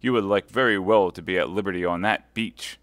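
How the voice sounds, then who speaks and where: Male narrator voicing a cold, mocking baron; low, loud, dry. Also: brisk, no room sound. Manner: sneering, mocking, forceful. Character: stern vengeful English baron, mocking and cold.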